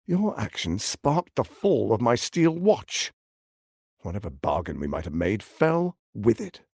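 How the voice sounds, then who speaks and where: An actor playing a suave, scheming tyrant archduke in a video game, speaking in a pompous, silky, menacing and theatrical manner. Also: male voice, lowish voice, sing-song, measured pace, creaky, a little mellow, breathy edge, dry recording, clean studio sound, full character voice. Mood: cold menace. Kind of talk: video game character acting.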